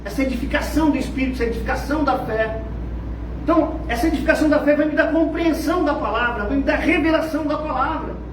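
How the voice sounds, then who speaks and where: A Brazilian man with a Brazilian Portuguese accent preaching a fervent sermon, dropping to low murmurs and rising to shouts. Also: fast. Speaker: pastor. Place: church. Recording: echoey, distant, noisy church hall.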